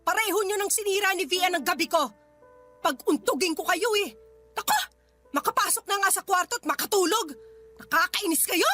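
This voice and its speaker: A woman's voice: extremely high pitch, moderate pace, bright, forceful tone. A woman playing an irritable, tired mother scolding the nanny in a radio drama, snappish and dismissive. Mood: angry. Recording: music bed behind the voice.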